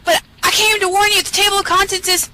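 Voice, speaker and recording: A woman's voice: very high voice, level tone, quick pace, very noisy, pitched above the performer's own voice. A woman voicing a flustered male storybook narrator who butts in with urgent, repeated exclamations. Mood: flustered urgency.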